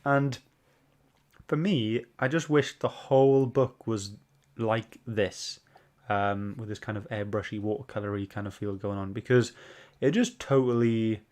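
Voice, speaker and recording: A man's voice: fairly low pitch, a little slow, a little mellow. A man hosting a podcast, leading a casual book club discussion. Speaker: podcast host.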